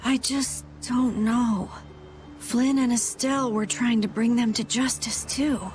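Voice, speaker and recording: A woman's voice: a little high, steady tone, unhurried, darkish tone, soft background music, natural voice. A woman voicing an earnest, anxious young boy guild leader in a video game, speaking hesitantly. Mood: troubled.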